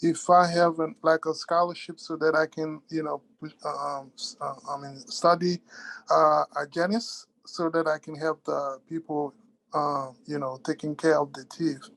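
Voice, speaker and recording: A man with a Haitian accent joins a video call and speaks slowly and haltingly, filling the gaps with uh and you know. His sound is dry and close, with little room around it. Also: on the high side, level tone, gravelly, fairly bright tone, no echo, rough recording. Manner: hesitant, searching for words, many fillers. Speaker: webinar participant asking a question.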